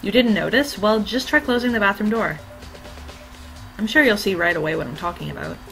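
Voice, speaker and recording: A cool, analytical teenage girl detective, voiced by a woman reading a game aloud. She questions calmly in a bright, slightly hoarse tone. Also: medium pitch, a little fast, soft background music. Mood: probing.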